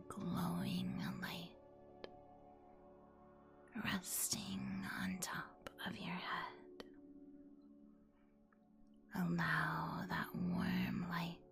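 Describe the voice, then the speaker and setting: Slow whispering woman, ASMR meditation host. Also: on the low side, vocal fry. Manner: soothing, deliberate, instructional. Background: music.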